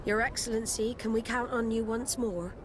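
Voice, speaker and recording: A woman's voice: a little high, steady tone, moderate pace, lightly acted. A woman playing an authoritative naval commander in a video game, formal, commanding and courteous. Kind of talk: video game cutscene dialogue. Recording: clear noise, outdoor ambience behind.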